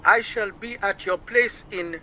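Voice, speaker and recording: High, piercingly bright, anxious caller. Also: male voice, measured pace, heavily acted. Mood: anxious, urgent. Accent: Southern African. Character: anxious, earnest male friend phoning with serious news. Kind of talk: radio drama acting.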